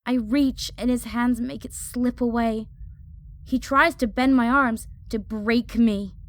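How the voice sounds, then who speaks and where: A woman's voice: a little high, fairly even intonation, slow pace, no echo, clean studio sound. A woman playing a hot-headed young female fire mage, narrating an audio drama in the first person with intense, clipped phrases. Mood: urgent, determined.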